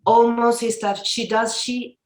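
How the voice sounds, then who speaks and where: A woman's voice: a little high, flat delivery, measured pace, darkish tone, reverberant. A woman therapist, asking a question over a video call and searching for her words.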